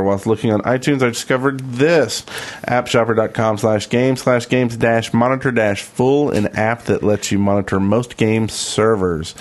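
A man co-hosting a podcast in a casual, conversational style. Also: lowish voice. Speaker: podcast co-host. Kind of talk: casual podcast chat, reading out listener mail and sponsor.

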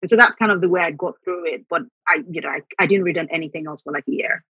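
A middle-aged woman from Nigeria whose first language is Yoruba, telling reflective stories about her writing and repeating words when she gets excited. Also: fairly low pitch, quick pace, breathy edge, no room sound, muffled recording. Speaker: author guest. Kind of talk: conversational interview.

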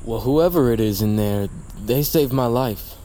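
A man's voice: mid-range voice, unhurried, warm tone, lightly acted. Guy doing a worn out wounded warrior sidekick, dry and loyal, audio drama, crickets and outdoor ambience. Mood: hushed, reflective. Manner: wry, sardonic. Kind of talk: audio fiction drama acting. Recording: night insects, faint outdoor noise.

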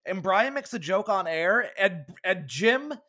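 High-voiced young man chatting sports. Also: expressive, measured pace, pushed and bright. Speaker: podcast co-host. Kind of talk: casual sports podcast chat.

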